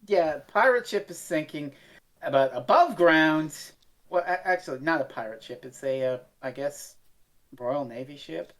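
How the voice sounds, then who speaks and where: A woman with a fairly low voice co-hosts a casual review show. She talks bluntly and swears freely, in a loose conversational style. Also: animated, unhurried. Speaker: podcast co-host.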